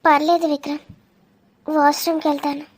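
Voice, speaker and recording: Woman voicing a very high-pitched girlish sweetheart. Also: flat delivery, a little fast, a little mellow, close to an everyday voice. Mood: pleading. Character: shy, affectionate young woman in love. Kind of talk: cartoon romance dialogue.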